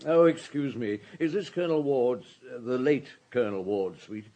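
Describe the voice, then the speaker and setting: An actor playing a seasoned, wry police superintendent in a radio drama, gruff and economical, with a British accent. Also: male voice, mid-range voice, lively intonation, normal speed, dark tone, dull audio, close to an everyday voice. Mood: businesslike. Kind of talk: BBC radio drama acting.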